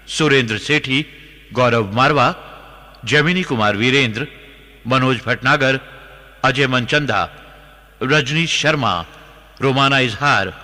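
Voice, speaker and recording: Slow, bright male announcer in heavy reverb. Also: medium pitch. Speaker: radio announcer. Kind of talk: scripted credits announcement. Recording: long hall-like reverb.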